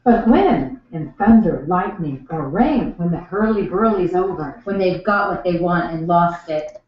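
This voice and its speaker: A woman's voice: fairly low pitch, animated, measured pace. A woman playing a weird, scheming witch in an educational radio play. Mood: eerie, sly. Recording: very echoey room, dull sound, off mic.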